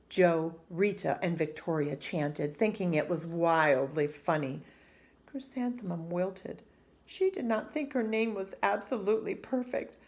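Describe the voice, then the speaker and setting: A woman reading a children's storybook aloud as narrator, in an expressive storytelling style. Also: medium pitch. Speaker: storybook reader.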